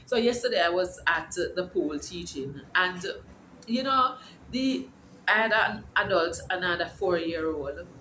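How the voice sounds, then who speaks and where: A woman's voice: lowish voice, lively intonation, a little slow, sharp tone, slight background noise. A middle-aged woman vlogging from home, talking in a casual, encouraging, preachy way and rambling with restarts. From Jamaica. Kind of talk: casual faith-filled vlog. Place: her home. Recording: echoey room with faint ambience.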